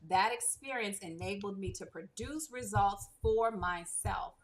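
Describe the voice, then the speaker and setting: Woman host, mid pitch, enthusiastic, reads a bio with asides, video call audio. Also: measured pace, low-quality recording. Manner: enthusiastic, adds asides while reading. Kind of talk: reading a guest bio and hosting.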